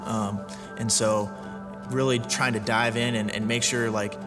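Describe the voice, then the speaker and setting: A man's voice: fairly low pitch, flat delivery, a little slow. A man in his twenties, a firefighter, sharing his faith story in a thoughtful, questioning way. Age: 20s. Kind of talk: testimony interview. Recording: loud music underneath.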